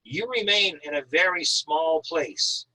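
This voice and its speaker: A man's voice: a little high, unhurried, sharp tone, rough recording. An American man from Northern Maine, an author reading prose and talking informally about writing. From Northern Maine, USA. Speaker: author reading and discussing their own thriller.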